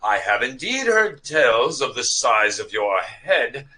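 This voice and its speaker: A man's voice: medium pitch, sing-song, a little slow, pushed and bright. A man playing a chivalrous but cowardly knight in a mock-medieval comedy sketch, with formal, pompous, theatrical phrasing. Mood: noble, earnest. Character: florid, chivalrous young male knight, secretly cowardly.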